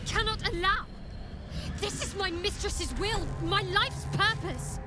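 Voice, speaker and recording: A devout young assassin in a video game. Her high voice is intense and determined, full of fervor. Loud music plays underneath. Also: slow pace. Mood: fierce. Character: fervent young female assassin devoted to dark goddess. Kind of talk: video game fantasy acting. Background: game soundtrack music.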